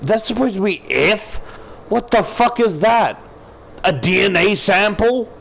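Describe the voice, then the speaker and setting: A man's voice: high-pitched, animated, measured pace, vocal fry, a bit bright. A man reading a visual novel aloud as a gaming YouTuber, with casual, profane commentary and exclamations.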